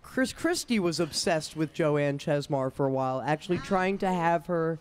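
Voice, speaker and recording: A woman's voice: low-pitched, lively intonation, normal speed. A woman, hosting a podcast and explaining at length, recorded outdoors with a crowd behind her. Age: 30s. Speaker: podcast host. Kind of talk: casual outdoor interview chat. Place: outdoors on a state house lawn.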